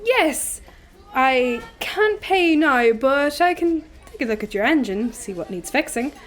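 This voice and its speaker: A blithe young Irishwoman mechanic in a sci-fi radio comedy. She is blunt and comically earnest, with a high voice that leaps about. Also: animated, measured pace. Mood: cheerfully blunt. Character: scatterbrained, cheerfully blunt young female ship mechanic. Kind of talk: scripted comic audio drama acting.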